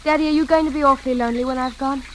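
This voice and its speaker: Casting: devoted young adult daughter, high-pitched and sweetly gushing, vintage radio acting, slightly noisy old recording. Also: female voice, steady tone, rapid-fire, fairly bright tone. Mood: fond, playful.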